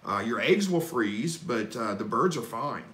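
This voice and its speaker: A man hosting a live stream, reading viewer questions and answering in a relaxed, folksy, rambling way. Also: mid-range voice, a little slow, reverberant, rough recording. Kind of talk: casual live chat Q&A.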